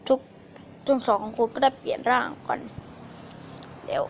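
A woman improvising a story with acted character lines, speaking haltingly and repeating phrases, on an amateur phone recording. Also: highs cut off, a little hiss or hum.

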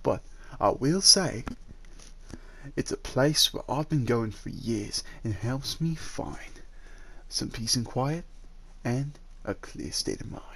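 Male actor as possessive dragon suitor in human form, mid-pitched, gently coaxing, halting, for roleplay audio drama. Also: lively intonation, vocal fry, slightly rough, full character voice. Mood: tender, apologetic. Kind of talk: roleplay ASMR audio drama, addressing the listener.

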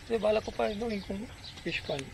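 A man's voice: high-pitched, a little fast, vocal fry, a little hiss or hum. Man explaining his farm outdoors. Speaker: farm owner showing his land. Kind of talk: casual chat while walking. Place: outdoors on rural farmland.

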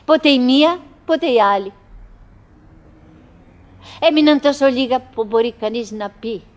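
An actress playing a wistful, lonely, chattering wife in a theatrical radio play, musing in fragments and repeating herself. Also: female voice, fairly high pitch, natural voice. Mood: musing, melancholy. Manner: fragmented, repetitive musing with pauses and self-address.

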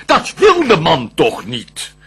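Husky, swooping theatrical lord of hell. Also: male voice, fairly high pitch, huge pitch swings, fairly bright tone, exaggerated voice. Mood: doubtful, bitter. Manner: declamatory, measured, theatrical verse delivery. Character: scheming, vengeful fallen lord of hell, grandly theatrical. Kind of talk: classical verse radio play acting.

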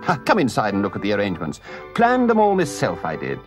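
A man voicing a boastful, excitable toad gentleman from a storybook, with a theatrical, effusive and pompous manner. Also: mid-range voice, moderate pace, soft background music. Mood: triumphant. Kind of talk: storybook character voice.

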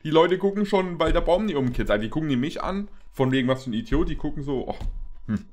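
A young man hosting a reaction video, rambling casually in a halting, repetitive, jokey way. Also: fairly high pitch, animated, darkish tone. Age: young adult. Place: small indoor room with shelves.

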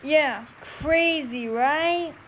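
High-pitched female radio call-in host. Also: drawn-out words, a bit bright, a little hiss or hum, exaggerated voice. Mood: weary, unsure. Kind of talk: radio drama acting in a video game.

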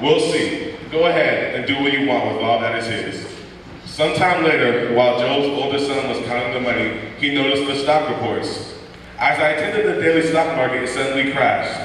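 A young man narrates a church skit in a steady, storytelling read-aloud manner. His voice carries through a large sanctuary with long, booming reverb. He sounds distant, picked up from across the hall with faint room noise. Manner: steady storytelling read-aloud, voicing quoted lines plainly. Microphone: stand mic at pulpit, heard from far off. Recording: echoey church hall from far off.

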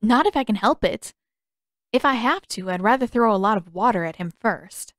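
Woman reader as determined, guarded teen girl, audiobook dialogue, higher register. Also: expressive, moderate pace, fairly bright tone, dry recording, clean studio sound. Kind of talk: character dialogue in audiobook narration.

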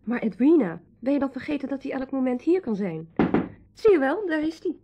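High, fussy lady. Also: very animated, a little fast, over loud music. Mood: flustered. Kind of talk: Dutch radio drama acting.

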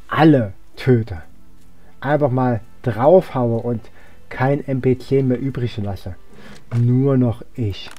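Young guy doing a chill let's play, soft dark tone, kinda rambles thinking out loud. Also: mid-range voice. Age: young adult. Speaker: let's player. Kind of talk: casual gameplay commentary.

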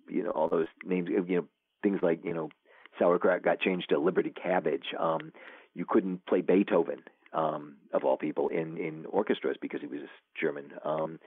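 A man's voice: deep voice, dry recording. A man, an author being interviewed, talking casually and rambling with many fillers and restarts. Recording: tinny phone line.

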